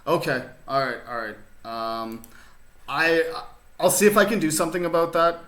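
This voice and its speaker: A man's voice: a little high, fairly even intonation, normal speed, sharp tone. A man chatting casually with viewers while streaming a chess game from his rural desert home, rambling and laid-back, full of fillers and restarts. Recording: roomy echo.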